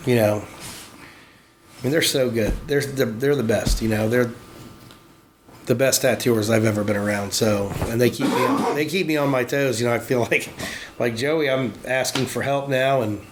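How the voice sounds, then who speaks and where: A man's voice: medium pitch, normal speed, background noise. Rambling man in his forties. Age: 40s. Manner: rambling, profane, many restarts. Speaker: tattoo shop worker. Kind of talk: casual chat.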